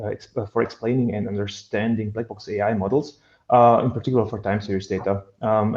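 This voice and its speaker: A man in his thirties with a Bosnian accent, explaining haltingly as a researcher on an online panel. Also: lowish voice, mellow. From Bosnia and Herzegovina. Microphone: headset on a video call. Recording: dull, roomy video-call audio.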